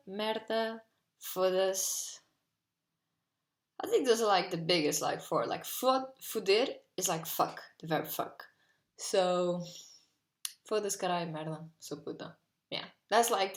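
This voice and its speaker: A woman's voice: mid-range voice, a little slow, echoey room. A 19-year-old woman from Portugal with a Portuguese accent, chatting casually and rambling to camera from her bedroom, full of "like". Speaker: vlogger.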